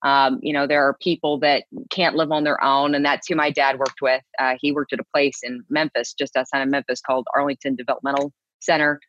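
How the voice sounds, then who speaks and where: A woman's voice: very deep voice, little pitch movement, fairly quick, few pauses, a bit bright, dry recording, dull audio. A woman from Texas, a TV news co-anchor, chatting easily as an interview guest and laughing readily. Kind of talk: casual interview conversation.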